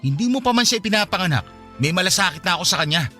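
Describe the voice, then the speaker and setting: Radio drama role: cold, blaming husband with a secret, fast delivery, forceful bright voice. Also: male voice, high-pitched, expressive, soft background music. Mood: scolding, blaming. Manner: defensive, scolding, blaming. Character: callous married man coldly rejecting his former mistress. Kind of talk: Tagalog radio drama acting.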